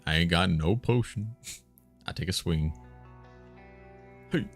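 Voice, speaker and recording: A man live streaming a text game, musing out loud in short casual phrases and sometimes reading the game text. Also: low-pitched, takes it slowly, faint music behind. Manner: musing, muttered short phrases, thinking aloud.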